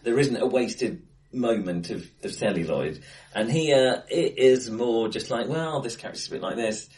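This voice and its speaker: A man co-hosting a casual film discussion, recorded face to face in an acoustically bright room. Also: mid-range voice, normal speed. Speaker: podcast co-host.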